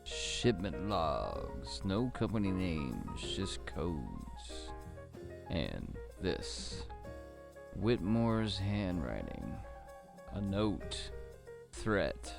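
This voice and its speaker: A weary hard-boiled detective acted by a man narrates a noir mystery in a low, gravelly voice over music. He takes his time, brooding over short, clipped phrases. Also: wildly swooping pitch, heavily acted. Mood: tense, brooding. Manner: brooding, terse, deliberate. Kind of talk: noir audio drama narration. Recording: music under the voice.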